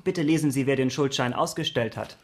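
A man's voice: mid-range voice, a little fast, natural voice. A man playing a dogged, correct police investigator in a radio play, speaking precisely, formally and with cool insistence. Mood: dry insistence. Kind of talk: German radio play acting.

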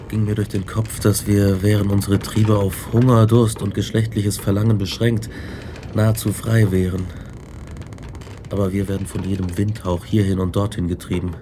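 Man as low, even-toned, brooding, guilt-ridden scientist. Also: moderate pace, background noise, close to an everyday voice. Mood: reflective. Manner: grave, brooding, literary delivery. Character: tormented male scientist recounting his guilt and dread. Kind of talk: first-person dramatic narration in a radio play.

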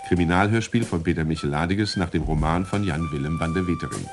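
Low guy reading the end credits formally, music loud behind. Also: fast. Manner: formal, announcing. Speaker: announcer. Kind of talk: reading radio drama credits.

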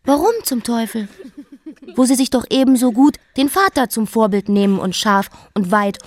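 A woman's voice: on the high side, normal speed, natural voice. An actress playing a defiant young queen who was raised as a boy, narrating her life in a radio play monologue. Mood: indignant.

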